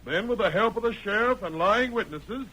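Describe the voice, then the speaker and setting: A confident, defiant Old West cowboy in a radio drama. He speaks in a high, declamatory voice with folksy frontier phrasing. The old recording carries faint noise. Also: lively intonation, normal speed, sharp tone. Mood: confident, accusing. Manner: emphatic, declamatory, folksy Western speech. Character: defiant, confident framed cowboy, taking charge of court. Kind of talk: old-time Western radio drama acting. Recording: noisy vintage radio recording.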